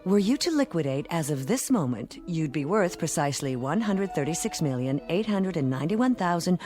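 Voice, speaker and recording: Low-voiced, suave devilish dealmaker. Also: female voice, very animated, mellow. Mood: businesslike, wry. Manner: precise, formal, teasing, deliberate. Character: suave female devilish dealmaker, coolly knowing and wry. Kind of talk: radio drama acting.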